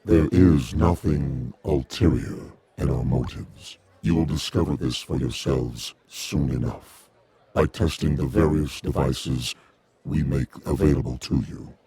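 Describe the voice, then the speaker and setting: An actor playing a calm, courteous, inscrutable alien emissary in a radio drama, speaking formally in measured, deliberate phrases. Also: male voice, extremely low pitch, breathy edge, no echo, full character voice. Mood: assured, persuasive.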